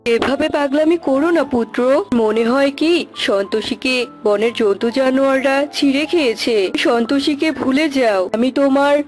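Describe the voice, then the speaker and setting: A woman's voice: high-pitched, moderate pace, a little mellow, soft background music, natural voice. A woman playing an imperious, anxious queen mother in a cartoon story, melodramatic and insistent. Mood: scolding. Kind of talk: dramatic cartoon story acting.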